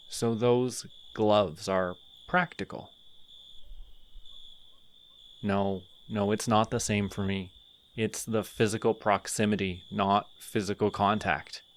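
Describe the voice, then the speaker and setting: A man voicing a sardonic male telepathic villain in an audio roleplay, mocking and deliberate. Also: lowish voice. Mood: gentle.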